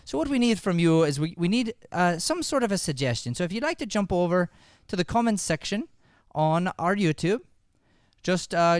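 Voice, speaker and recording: A man's voice: sits high, smooth, no echo, clean studio sound. A man hosting a live improv show, chatting informally and encouraging the audience. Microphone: podcast microphone.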